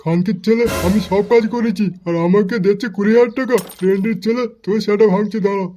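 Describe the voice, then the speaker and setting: Man playing cartoon crook, high voice, muted tone, coarse, aggressive, threatening, slightly noisy recording. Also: steady tone, quick pace, warm tone, lightly acted. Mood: angry, vengeful. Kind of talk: amateur comedy cartoon dubbing.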